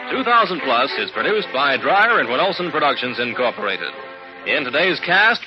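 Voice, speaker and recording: Music plays under a muffled vintage radio recording of a male announcer. His voice is high and ringing, with lively swings in formal 1950s delivery.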